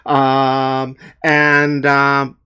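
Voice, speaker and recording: A man's voice: mid-range voice, monotone, drawn-out words, sharp tone, a little hiss or hum. A man talking casually and emphatically as a comic author introducing his own dramatic reading.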